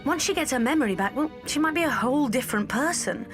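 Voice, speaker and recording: An actress playing a headstrong, resourceful young adventurer in an audio drama, earnest and insistent. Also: female voice, high voice, lively intonation, fast. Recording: music under the voice.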